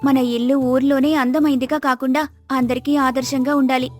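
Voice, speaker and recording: A woman voicing a patient, wise, devoted village wife in a moral story, earnest and gently admonishing. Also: high-pitched, level tone, moderate pace, soft background music, natural voice. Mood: relieved, devoted. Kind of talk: Telugu moral story character acting.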